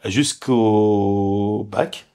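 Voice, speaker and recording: A man's voice: fairly low pitch, fairly even intonation, extremely slow, warm tone, clear, resonant tone. A man of about sixty, born in Congo and raised in the Lyon suburbs, introducing himself in an interview. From France (Lyon suburbs), born in Congo. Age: middle-aged or older, about 60.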